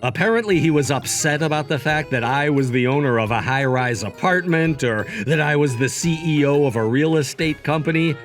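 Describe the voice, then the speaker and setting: A man's voice: on the high side, close to an everyday voice. A man voicing a composed, principled young company CEO in a manga dub, speaking in a firm, explanatory way. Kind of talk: manga dub narration and dialogue.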